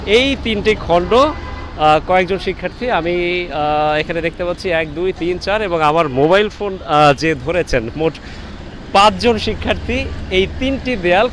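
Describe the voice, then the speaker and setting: High-pitched Bangladeshi male reporter, noisy street. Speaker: reporter interviewing students. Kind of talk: on-location reporting and interviewing. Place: outdoors on a university campus. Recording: noisy outdoor street with traffic.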